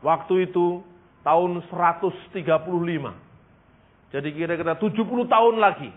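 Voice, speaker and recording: A man from East Java preaches in a high-pitched voice that comes through thin and band-limited. He teaches scripture and history like a storyteller, repeating phrases for emphasis and drawing out the words he stresses. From East Java, Indonesia. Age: 50s. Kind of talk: sermon with Bible storytelling and history lesson. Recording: tinny, band-limited speech recording.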